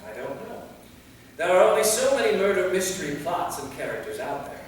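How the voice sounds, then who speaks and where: A man's voice: high voice, sing-song, fairly quick. A man playing an anxious, awkward centuries-old vampire novelist in a staged play reading, hesitant and self-effacing. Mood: awkward, subdued. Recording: echoey hall, distant mic, faint noise.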